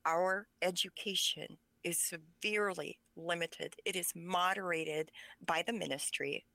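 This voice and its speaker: Passionate low-voiced woman, bright and forceful. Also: fairly even intonation, measured pace, vocal fry, dry recording. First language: Pennsylvania Dutch. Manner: passionate, emphatic. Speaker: author, interview guest. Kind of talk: conversational interview.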